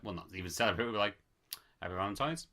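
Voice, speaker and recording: A man's voice: lowish voice, expressive, racing, pushed and bright, a little hiss or hum. A man chatting casually on a podcast, rambling a little with fillers. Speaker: podcast co-host. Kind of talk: casual chat.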